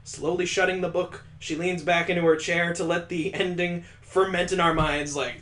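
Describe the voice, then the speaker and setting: A man's voice: sits high, level tone, normal speed, fairly bright tone, a little hiss or hum. A man playing a story game, reading its text aloud and breaking in with wry, mocking asides. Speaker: let's play host.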